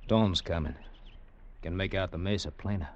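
An actor playing a seasoned, matter-of-fact cavalry soldier with a folksy, knowing manner, in an old radio western. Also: male voice, low voice, moderate pace. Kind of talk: 1950s radio western acting. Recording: dull vintage radio recording.

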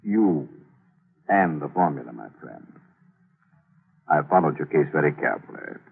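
Low gravelly villain, teasing menace, lively swings, old radio sound. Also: male voice, heavily acted. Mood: smug menace. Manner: smug, teasing, slow menace. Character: sly menacing male killer, peanut-cracking, mocking. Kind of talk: 1940s radio acting. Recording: tinny old radio broadcast.

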